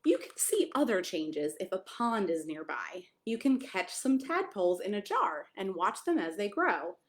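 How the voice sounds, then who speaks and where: A woman's voice: medium pitch, big pitch swings, normal speed. An American woman reading a picture book to young children, adding chatty asides and simple questions for her listeners. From United States (Maryland). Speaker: pastor reading a children's story. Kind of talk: children's storytime read-aloud with chatty asides.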